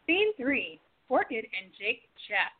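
A woman narrating an amateur radio play, announcing its scenes and the cast clearly. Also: a little high, big pitch swings, slow. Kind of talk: scripted narration. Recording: tinny phone-like sound.

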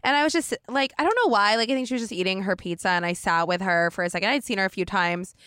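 A woman's voice: medium pitch, fast, fairly bright tone, clear, resonant tone, dry recording. Young female podcast host, frank, laughs easily, close dry sound. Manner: candid, laughing, swears freely. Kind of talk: casual interview chat. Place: comedy shop at a party.

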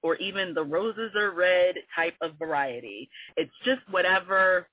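A woman's voice: on the low side, a little slow, dry recording. A woman in her forties with a Southern accent touched by New Orleans, a guest poet chatting openly and laughing often in an interview. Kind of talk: casual interview conversation. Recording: tinny phone-line audio.

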